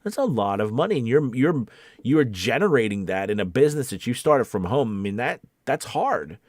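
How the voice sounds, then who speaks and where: A man's voice: mid-range voice, big pitch swings, fairly quick, darkish tone, dry recording, clean studio sound. A man speaking casually and off the cuff as a voice acting coach, candid and encouraging, repeating himself for emphasis. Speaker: voice actor and coach hosting a live Q&A. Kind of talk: casual, off-the-cuff advice talk.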